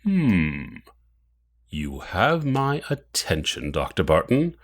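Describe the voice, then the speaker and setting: Cold audio drama villain: formal, measured, mocking, with widely swinging intonation. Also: male voice, low-pitched, huge pitch swings, heavily acted. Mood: cold menace. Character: menacing, cold, mocking villain, adult man.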